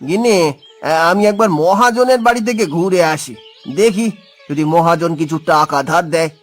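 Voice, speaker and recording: A man voicing a poor village farmer and father in a folk-tale cartoon, gentle and humble. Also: sits high, normal speed, soft background music, lightly acted. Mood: hopeful, hesitant. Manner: affectionate, humble.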